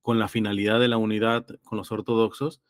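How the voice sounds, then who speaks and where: Low-voiced man, slightly congested, dry sound. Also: little pitch movement, fairly quick, no room sound. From Los Angeles, California. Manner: hesitant, reflective, explains through examples and citations. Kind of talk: conversational theological discussion.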